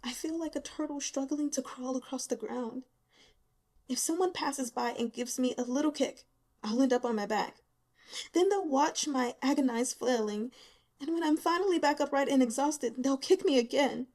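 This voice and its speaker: A woman's voice: high-pitched, normal speed, darkish tone. A woman voicing a bitter, exhausted young female student in a novel, pained and sarcastic in manner. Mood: bitter self-pity.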